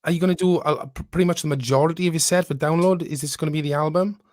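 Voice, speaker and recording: A man's voice: a little high, steady tone, rapid-fire, darkish tone, no echo. A man hosting a casual podcast interview, asking chatty, enthusiastic questions. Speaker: podcast host, band member.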